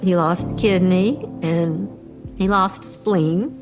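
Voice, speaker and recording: A woman's voice: lowish voice, takes it slowly, music in the background. A woman telling a personal, emotional story as a mother in a documentary-style interview.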